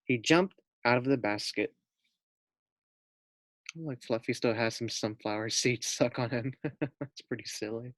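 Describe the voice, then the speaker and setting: A man reads a children's story aloud at a slow pace, in a middling pitch. The recording is close and dry, and he speaks simply and directly, like a teacher with young pupils. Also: fairly bright tone, no room sound. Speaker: teacher reading aloud to first graders. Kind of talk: expressive storybook read-aloud with casual asides to young listeners.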